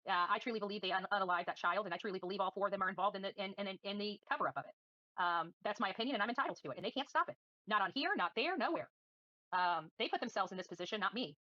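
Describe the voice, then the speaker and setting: A woman's voice: medium pitch, expressive, rapid-fire, sharp tone, no room sound, lo-fi sound. A woman livestreaming commentary and answering viewers in a combative, defiant, scornful manner. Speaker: livestream host.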